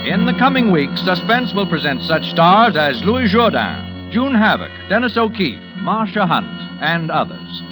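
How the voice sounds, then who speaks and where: A male announcer with a fairly high voice reads crisp, formal radio credits. His pitch moves a lot. Clear music plays beneath this vintage broadcast. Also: big pitch swings, measured pace, hardly any pauses, low-quality recording. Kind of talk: 1940s radio closing announcement.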